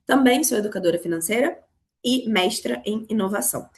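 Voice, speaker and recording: Hoarse Brazilian woman teaching online. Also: mid-range voice, expressive, fairly quick. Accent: Carioca Brazilian Portuguese. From Rio de Janeiro, Brazil. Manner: explanatory, addresses students directly. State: hoarse from a cold. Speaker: financial educator teaching an online class. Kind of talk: live online class. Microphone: video call.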